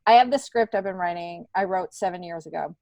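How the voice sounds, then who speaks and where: A middle-aged woman speaks as a guest in a relaxed, candid interview. She tells stories in an animated, conversational way, slipping into quoted dialogue. The sound is dry, with very little room in it. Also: on the low side, brisk, creaky, no room sound. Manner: animated storytelling, acts out remembered dialogue. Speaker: comedian and TV worker, podcast guest. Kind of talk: casual, candid interview chat.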